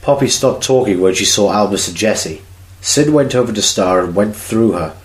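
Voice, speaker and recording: Low-voiced man narrating, roomy sound. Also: expressive, moderate pace. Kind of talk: scripted story narration of fan audio drama. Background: music.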